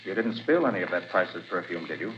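A confident detective from old-time radio. He talks fast, with a low voice and lively swings in pitch. The broadcast sounds dull, with heavy hiss. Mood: brisk. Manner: brisk, assured, quick-talking. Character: confident male private detective. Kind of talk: 1940s radio acting. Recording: dull lo-fi 1940s radio recording with loud hiss.

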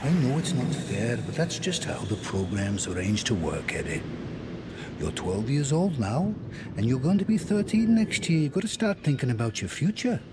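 A man's voice: medium pitch, sing-song, normal speed, background noise, close to an everyday voice. An actor playing a firm, demanding father in an audio drama, talking to children in a didactic, coaxing way. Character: firm, demanding father lecturing his young twin sons.

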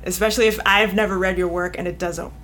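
A woman guest, an editor, talking casually in an interview, animated and often laughing, with many "you know" fillers. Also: fairly low pitch, fast, slight background noise. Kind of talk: conversational interview.

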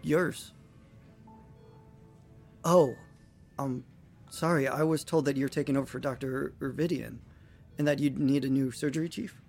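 A man's voice: mid-range voice, fairly even intonation, unhurried, creaky, pauses often, darkish tone, faint music behind. A man playing an eager young rookie surgeon in a sci-fi audio drama, stammering, earnest and deferential. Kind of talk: audio fiction acting.

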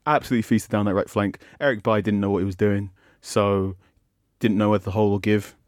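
A man with a Manchester accent and a low voice, giving football opinions on a podcast. His manner is wry and animated. The studio sound is close and dry. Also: fast talker, dry recording. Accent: Mancunian. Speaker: football pundit. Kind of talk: podcast analysis.

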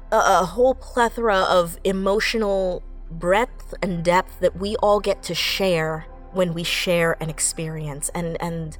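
A woman in her late twenties speaking earnestly and effusively as a writer in a reflective interview. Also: on the low side. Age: late 20s. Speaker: audio drama writer and voice actor.

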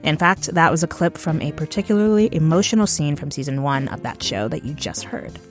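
A woman hosting a podcast, chatting in a relaxed, conversational way. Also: low voice, brisk, faint music behind. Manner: relaxed and conversational, with soft laughs. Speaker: podcast host. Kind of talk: casual chat.

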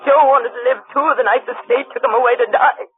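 A woman's voice: a little high, steady tone, fast, lightly acted. A woman with an American accent playing a young, intense, wounded wife in a theatrical, emotional old-time radio drama. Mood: bitter, grieving. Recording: tinny old radio recording, faint music.

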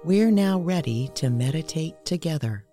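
A woman with a notably low voice narrates a guided meditation. Her delivery is measured and instructional, as a host leading listeners step by step. Music plays clearly behind her. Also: expressive, dark tone.

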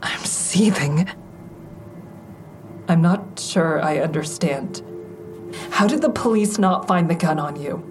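Low-voiced, haunted woman survivor. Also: slow, long pauses, music in the background. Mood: cold, disillusioned. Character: Haven, traumatized woman survivor narrating, fearful, guarded, hardening. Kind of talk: first-person narration and dialogue in audio drama.

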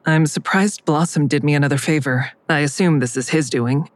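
Woman as a low-voiced, guarded, cynical daughter. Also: normal speed, natural voice. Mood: cool, suspicious. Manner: dry, terse, guarded. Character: guarded, cynical adult daughter who narrates. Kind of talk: naturalistic audio drama acting with narrated asides.

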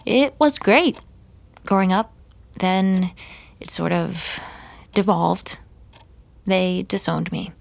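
An actress playing a guarded, sorrowful female vampire in an audio drama, with a measured, introspective, hesitant delivery. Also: fairly low pitch, lively intonation, creaky, heavily acted. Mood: guarded, evasive. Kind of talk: audio drama narration and dialogue.

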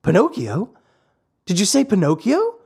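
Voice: mid-range voice, wildly swooping pitch, moderate pace, vocal fry, darkish tone, slightly rough, voice pushed up, full character voice. A narrator voicing a little wooden marionette boy in a storybook, plaintive and dramatically exclaiming. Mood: eager.

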